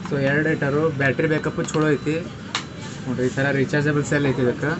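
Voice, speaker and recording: Male reviewer, North Karnataka accent, slightly high voice, casual product demo, loud noise behind him. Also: little pitch movement, quick pace. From Karnataka, India. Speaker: shop owner and product reviewer. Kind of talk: casual hands-on gadget review.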